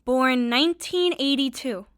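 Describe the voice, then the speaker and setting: A woman's voice: high-pitched, a little slow, fairly bright tone, smooth, dry recording, studio quality. A woman playing an earnest young girl adventurer in an audio drama, speaking carefully. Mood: discovering.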